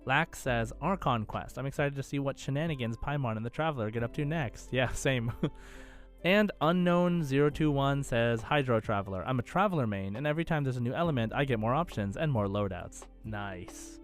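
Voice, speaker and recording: A man's voice: medium pitch, a little fast, faint music behind. A man co-hosting a casual gaming podcast, conversational and enthusiastic as he chats and reads out listener comments. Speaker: podcast co-host.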